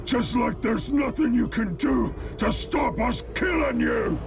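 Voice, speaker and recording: A man's voice: very high voice, a little slow, gravelly, exaggerated voice. A man playing a brutish, boastful orc captain in a video game cutscene, snarling threats over music. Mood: gloating.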